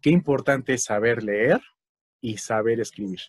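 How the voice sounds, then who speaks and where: A man's voice: medium pitch, measured pace, no room sound, clean studio sound. A man with a Mexican Spanish accent hosting a casual conversation, chatty, with frequent fillers and tag questions. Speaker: host.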